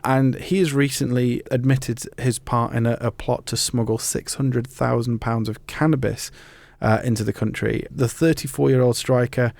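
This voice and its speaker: A man hosts a podcast with a noticeably creaky, gravelly edge to his voice. He talks informally and thoughtfully, working through his points in a conversational way. The sound is close and dry. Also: mid-range voice, fairly even intonation, moderate pace, a little mellow, no echo. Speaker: podcast host.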